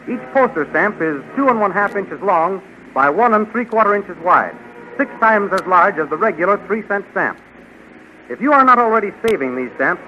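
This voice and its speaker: A man with an American accent, reading scripted narration as a 1930s radio announcer in a declamatory, promotional way. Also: high voice. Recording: dull 1930s radio recording.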